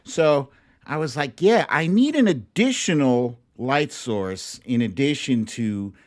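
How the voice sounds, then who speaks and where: A middle-aged man hosts a livestream in an unhurried, conversational style. He rambles a little and speaks straight to his audience. The sound is dry and close, with a medium-pitched voice. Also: lively intonation, no room sound. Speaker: host of a livestream show. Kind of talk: casual, chatty livestream talk to viewers. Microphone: streaming microphone at a laptop setup.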